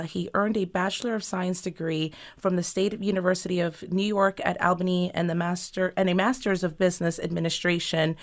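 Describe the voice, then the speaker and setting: A woman hosting a radio show, reading a formal introduction in a measured way. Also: medium pitch. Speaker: radio host.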